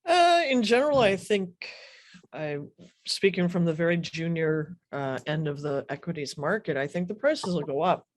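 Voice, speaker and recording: A woman's voice: fairly low pitch, lively intonation, moderate pace. A woman, a junior mining company CEO, giving direct answers on an online panel.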